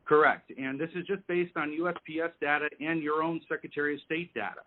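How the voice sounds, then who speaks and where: A man speaking in a formal, measured, lawyerly way as he negotiates on a conference call. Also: on the high side, steady tone. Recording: narrow, tinny phone line.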